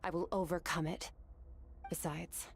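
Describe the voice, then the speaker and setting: A cool young female warrior, resolute and blunt, with a lowish voice. Her delivery is composed and firm, in the manner of game dialogue, with faint noise underneath. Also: little pitch movement, a little slow. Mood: determined. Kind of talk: dramatic video game dialogue.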